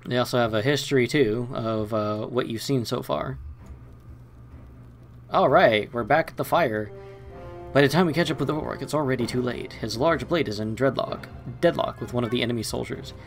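A man's voice: medium pitch, moderate pace, gravelly, soft background music. A man hosting a let's play, reading game narration aloud and commenting casually.

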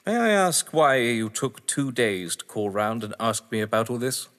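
An actor playing a haughty, well-to-do English gentleman in an audio drama, florid and evasive, with an English accent. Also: mid-range voice, very animated, normal speed. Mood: dismissive, wary. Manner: pompous, florid, theatrical. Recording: reverberant hall with music behind.

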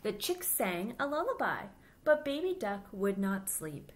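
A woman in her thirties reading a children's picture book aloud, with simple, deliberate phrasing for young listeners. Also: mid-range voice, big pitch swings, slight background noise. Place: small plain-walled room indoors.

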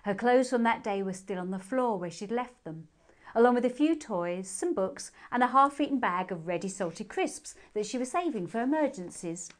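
A woman's voice: on the high side, animated, normal speed. A woman with a British accent reading storybook narration aloud expressively for children.

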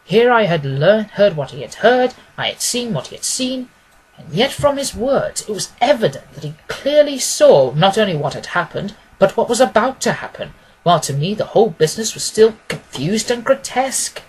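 A man's voice: high-pitched, very animated, normal speed, a little hiss or hum, rough recording. A man reading scripted literary narration as an audiobook reader.

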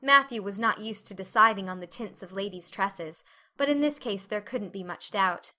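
A woman's voice: medium pitch, moderate pace, pushed and bright. A woman narrating a novel as a scripted, dramatic audiobook reading.

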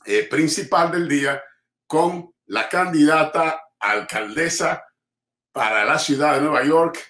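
A man's voice: fairly high pitch, expressive, unhurried, sharp tone. A man hosting a community TV interview from home over a video call, speaking emphatically with frequent fillers. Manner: emphatic, rhetorical, hesitant fillers. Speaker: TV host. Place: home room with bookshelves. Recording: roomy echoey home room.

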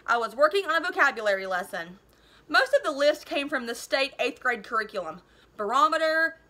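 Bright, high-voiced woman reading aloud. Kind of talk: expressive read-aloud of a children's novel. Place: living room at home.

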